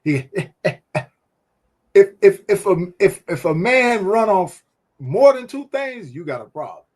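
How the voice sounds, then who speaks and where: A man's voice: sits high, very animated, a little slow, a little mellow. A man co-hosting a casual faith-based talk show, speaking emphatically and animatedly, with a preachy storytelling manner. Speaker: podcast co-host.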